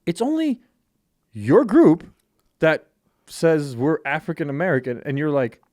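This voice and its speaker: A blunt, foul-mouthed man who was born in Armenia hosts a casual podcast chat. His pitch swings widely as he talks, and his voice sounds close and dry, with no room echo. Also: measured pace. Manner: blunt, profane, animated storyteller. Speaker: podcast host.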